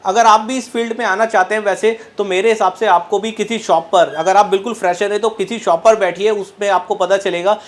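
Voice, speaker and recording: A man's voice: high voice, fairly even intonation, fairly quick, hardly any pauses. A man interviewing students in a repair training class, talking casually and repeating himself. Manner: chatty, repeats "so guys, as you can see".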